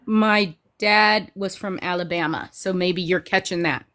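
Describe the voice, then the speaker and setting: A woman's voice: lowish voice, measured pace, a bit bright. A woman from the Midwest calling in to a talk show, speaking emphatically and driving her points home. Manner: emphatic, opinionated, punchy endings. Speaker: caller. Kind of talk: casual call-in chat.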